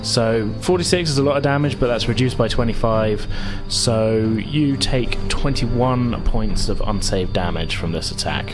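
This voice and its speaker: A man's voice: medium pitch, lively intonation, unhurried, hardly any pauses. A man narrating a tabletop role-playing game as game master, explaining in a hesitant, conversational way. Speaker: game master and podcast host. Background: music.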